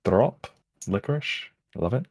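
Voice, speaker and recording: A woman guest on a live stream, joking dryly and self-deprecatingly in halting phrases. Her family is from the Netherlands. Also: extremely low pitch, animated, a little mellow, dry recording, studio quality. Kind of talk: casual live-stream chat.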